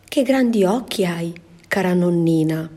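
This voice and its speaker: A woman voicing a bold little girl in a storybook reading, with a high, girlish voice. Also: animated, measured pace, mellow. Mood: sweet. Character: bold little girl, sweet then cunning.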